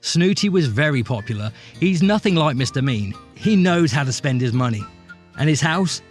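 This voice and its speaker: A friendly, gushing cartoon fellow played by a man reminisces in a documentary-style audio drama. His voice is on the high side for a man, with faint music behind it. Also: animated, normal speed, close to an everyday voice. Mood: fond, gushing. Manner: chatty, gushing. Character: friendly, eager male cartoon character reminiscing.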